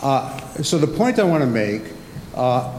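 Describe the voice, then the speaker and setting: A man of middle pitch asks his question from the floor of a conference hall. He is articulate and lecturing but scattered with "uh." Long reverb and a faint hiss surround his voice. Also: big pitch swings, measured pace, darkish tone. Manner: articulate, lecturing, with many fillers. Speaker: audience member, former teacher and analyst.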